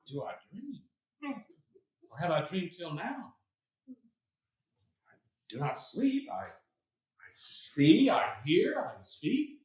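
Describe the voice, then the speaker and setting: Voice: medium pitch, big pitch swings, slow pace, pauses often, darkish tone. A performer playing a gullible, bawdy drunken tinker who believes he is a lord, delivered broadly in a staged Shakespeare comedy. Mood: amazed, delighted. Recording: roomy stage recording from far off.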